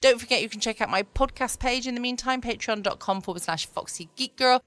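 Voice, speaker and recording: A woman's voice: on the high side, fairly quick, fairly bright tone. A woman chatting casually as a podcast host at home. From United Kingdom. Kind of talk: casual chat.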